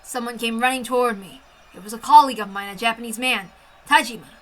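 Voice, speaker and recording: A woman reading a first-person story aloud with an expressive, intense dramatic delivery. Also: a little high, fast talker. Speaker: amateur story reader.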